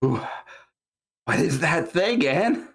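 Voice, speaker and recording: A woman's voice: low-pitched, lively intonation, takes it slowly, slightly rough, heavily acted. A woman playing a squeamish, flustered young witch in training at a screenplay table read. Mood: anxious.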